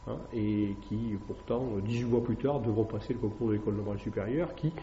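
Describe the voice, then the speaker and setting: Classics teacher, male, halting and thoughtful delivery, faint room hiss behind. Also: on the low side, mellow. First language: French. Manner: hesitant, many fillers and tag questions. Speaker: classics teacher giving a talk. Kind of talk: public lecture to an evening audience. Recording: echoey dull room recording.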